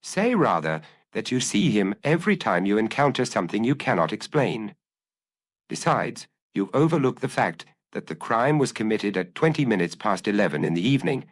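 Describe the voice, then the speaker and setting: A man voicing a scornful judge, animated pitch. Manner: scornful, emphatic reasoning. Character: dismissive, argumentative male judge in a detective story.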